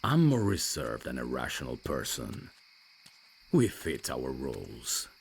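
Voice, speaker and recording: A man playing a reserved, rational vampire messenger in an audio roleplay, dry and guarded, with a gravelly, deep voice. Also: huge pitch swings, unhurried, slight background noise, exaggerated voice. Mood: calm, serious. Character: reserved, rational male vampire messenger, over fifty years undead.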